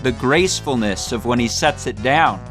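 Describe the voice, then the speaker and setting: A man's voice: mid-range voice, lively intonation, normal speed, fairly bright tone, soft background music. A man hosting a scripted comedic video essay, speaking in a mock-grandiose, tongue-in-cheek way.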